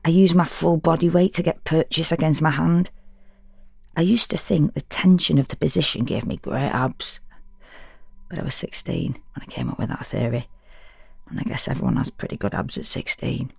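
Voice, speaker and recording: An actress playing a frank, wry, solitary woman in an audio drama monologue, confiding in an intimate, deadpan way in short, halting phrases. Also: low voice, vocal fry, slightly rough, dry recording, exaggerated voice. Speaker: actor performing a solo audio drama. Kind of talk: first-person dramatic monologue, confessional and conversational.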